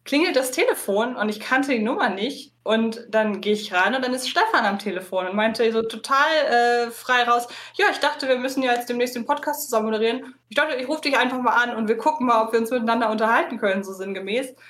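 A woman's voice: on the high side, quick pace, hardly any pauses, fairly bright tone. A woman in a casual interview, explaining things at length in long, detailed sentences as a podcast co-host. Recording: roomy, echoey sound.

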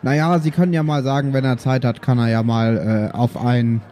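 A man's voice: medium pitch, flat delivery, a little fast, mellow. A man playing a tabletop audio game, improvising casually and hesitantly as he searches for words. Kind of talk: improvised casual role-play.

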